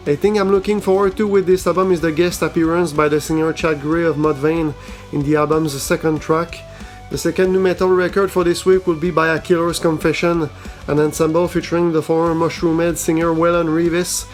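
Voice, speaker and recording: Even-voiced man reading metal news. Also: a little high, brisk, soft background music. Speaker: host of a metal news show. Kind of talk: scripted album-release roundup.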